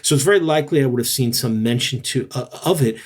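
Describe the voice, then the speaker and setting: A man with a husky, slightly breathy voice, co-hosting a relaxed chat. He tells stories with energy, jumping up in pitch to act out the people he quotes. His mid-range voice stays friendly and conversational. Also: fast talker. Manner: animated storyteller, acts out quoted voices, laughs easily. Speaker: co-host, jazz bar hunter. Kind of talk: casual conversational podcast chat.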